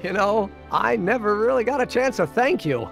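An actor playing a self-assured, nerdy young inventor in a video game, breezy and wordy in an old-fashioned way. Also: male voice, high-pitched, normal speed, gravelly, a bit bright, faint music behind. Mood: breezy, smug. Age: young adult.

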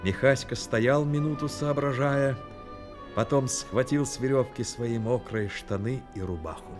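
A man's voice: mid-range voice, a little slow, over loud music, lightly acted. A man performing as the earnest, troubled narrator of a literary radio drama. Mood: grave, reflective. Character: earnest male storyteller, troubled and sympathetic.

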